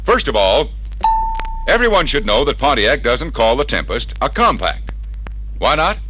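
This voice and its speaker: A man's voice: mid-range voice, sing-song, normal speed, pushed and bright, a little hiss or hum, poor audio. An American man narrating a scripted 1960s sales training piece in a persuasive, emphatic announcer style, heard from a vintage vinyl record.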